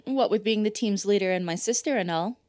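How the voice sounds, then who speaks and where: A woman's voice: mid-range voice, brisk, a little mellow, dry recording, lightly acted. An actress playing a flustered young woman, a casual older sister, with hesitant delivery in an audio fan drama. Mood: awkward, nervous.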